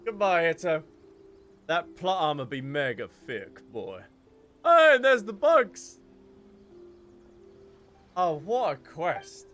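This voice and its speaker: A man's voice: high voice, sing-song, slow pace, long pauses, fairly bright tone. A man streaming a game with casual, exclamatory, jokey reactions, with game music playing behind him. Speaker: gaming streamer. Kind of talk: casual live reaction commentary over a game.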